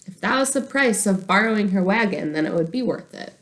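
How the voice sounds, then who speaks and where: A woman reading a children's chapter book aloud as an expressive narrator. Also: mid-range voice, brisk. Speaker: storybook reader.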